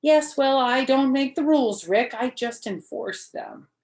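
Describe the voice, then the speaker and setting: A woman's voice: high voice, a little slow, darkish tone, pitched above the performer's own voice. A woman voicing a bossy, dramatic little kindergarten girl from a storybook, with emphatic, theatrical delivery. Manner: emphatic, theatrical, childish grammar. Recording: roomy, echoing home recording.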